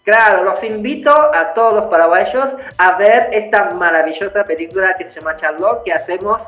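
A man's voice: sits high, moderate pace. A middle-aged man from Taiwan, an actor joining an interview by video call, laughing often and hesitating with many fillers. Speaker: actor guest. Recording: echoey lo-fi video call with faint music.

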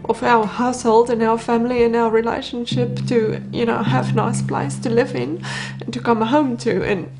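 Woman in her thirties, highish voice, homeowner interview, music behind. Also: fairly even intonation, moderate pace. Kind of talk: personal interview.

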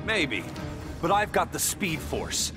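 Lively, cocky speedster superhero. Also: male voice, a little high, takes it slowly. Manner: breezy, casual, taunting. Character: cocky, quick-witted male speedster superhero. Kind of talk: video game voice acting. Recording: loud music over the speech.

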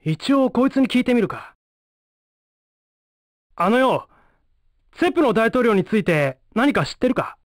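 An actor playing a brash, blunt young male ninja in a video game, with rough, slangy delivery. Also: very high-pitched, big pitch swings, unhurried, pauses often, no echo, studio quality, heavily acted. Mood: pondering, gruff.

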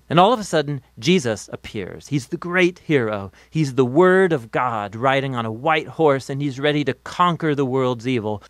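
An American man giving a scripted educational narration in a measured, explanatory way, as the narrator of an explainer video. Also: medium pitch, fairly bright tone, no room sound. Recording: dry studio voice-over.

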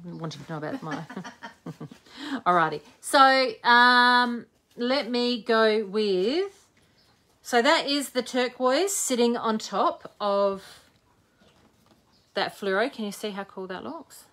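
A woman's voice: on the high side, animated, measured pace, a bit bright. A woman in her forties chatting and joking as she demonstrates a craft project live. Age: 40s. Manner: chatty, jokey, thinks aloud while working. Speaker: craft video host. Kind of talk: live crafting demo chat.

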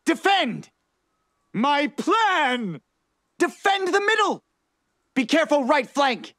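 A man's voice: extremely high pitch, very animated, slow, bright, forceful tone, no echo, studio quality, exaggerated voice. A man voicing a manic, mischievous little male fox mad scientist in a video game, calling out short theatrical lines. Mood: excited, urgent. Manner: exclamatory, theatrical short barks.

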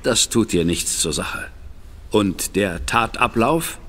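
A man's voice: on the low side, lively intonation, slow pace, fairly bright tone, a little hiss or hum. An actor playing a shrewd, composed male detective in a German audio drama, formal, courteous and inquisitive. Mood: probing.

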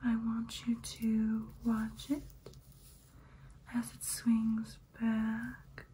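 Woman acting a soothing female vampire, ASMR roleplay, breathy whisper, slow, even pitch, faint background noise. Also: low-quality recording. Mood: calm, hypnotic. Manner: slow, lulling, calming.

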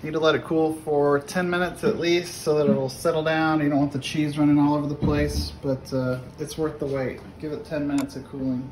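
A man's voice: fairly high pitch, level tone, brisk, hardly any pauses, darkish tone. Casual middle-aged man, noisy kitchen recording. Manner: unpolished, offhand step-by-step delivery. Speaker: home cook presenting a recipe. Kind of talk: casual cooking demonstration. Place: home kitchen, indoors. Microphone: phone camera held nearby. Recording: clearly noisy home kitchen video.

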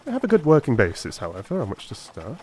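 A man's voice: medium pitch, wildly swooping pitch, fast, darkish tone, slight background noise. A man voicing a brilliant, self-assured detective in a dramatised audiobook, explaining with confidence. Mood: animated, assured. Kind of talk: dramatised audiobook character acting.

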